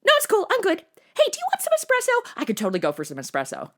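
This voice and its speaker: A woman's voice: extremely high pitch, huge pitch swings, racing, heavily acted. A woman playing a chatty, cheeky, jittery modern young woman in a comedy audio drama, slangy and over-caffeinated.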